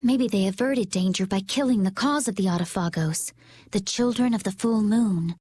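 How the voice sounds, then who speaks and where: A woman playing a poised, calm, gently diplomatic young woman in a video game. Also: mid-range voice, moderate pace, breathy edge, dry recording, natural voice. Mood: composed. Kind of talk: video game dialogue, composed. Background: background music.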